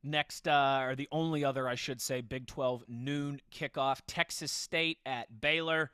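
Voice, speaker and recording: A man's voice: medium pitch, steady tone, a little slow, bright, forceful tone, smooth, dry recording, studio quality. A man hosting a casual football podcast in a conversational way, steering the discussion. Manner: conversational, steers questions to co-hosts. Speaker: podcast host.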